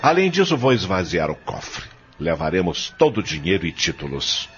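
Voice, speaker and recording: A very deep, menacing villain of an audio drama, acted by a man. He is domineering and scornful, barking orders with big swings in pitch. The recording sounds dull. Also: big pitch swings, normal speed, fairly bright tone. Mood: vengeful, menacing. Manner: menacing, contemptuous, commanding. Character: domineering, vengeful criminal eldest brother, farm heir.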